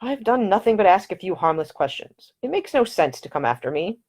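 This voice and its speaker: A woman voicing a gallant, flattering gentleman spy, speaking in a smooth, courtly and measured way. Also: medium pitch. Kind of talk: audiobook character dialogue.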